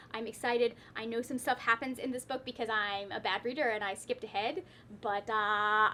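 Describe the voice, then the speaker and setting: A woman's voice: fairly high pitch, fairly bright tone, a little hiss or hum. A woman hosting a book vlog in casual, chatty talk to viewers, often cutting herself off with wry asides. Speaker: book vlogger, host of her own channel.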